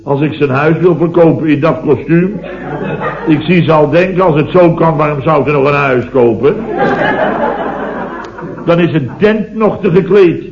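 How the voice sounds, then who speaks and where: A man's voice: fairly high pitch, moderate pace, long pauses, crowd noise, close to an everyday voice. An actor playing an exasperated building contractor boss in his fifties in a vintage radio comedy, telling his woes with indignation. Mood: amused indignation. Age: 50s. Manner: indignant, long-suffering storyteller. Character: blustering, exasperated fifty-year-old building contractor boss. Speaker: comic lead in a radio serial. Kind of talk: 1970s Dutch radio comedy acting. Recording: dull, roomy vintage radio recording.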